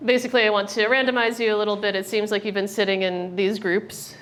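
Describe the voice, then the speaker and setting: A woman's voice: mid-range voice, little pitch movement, fairly bright tone, rough recording. A young American woman informally introducing a workshop to a live group, chatty, friendly and a little hesitant. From United States. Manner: hesitant, frequent ums and pauses, chatty and friendly. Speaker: workshop presenter, research director. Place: indoor workshop room with an audience. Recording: live room with clear background noise.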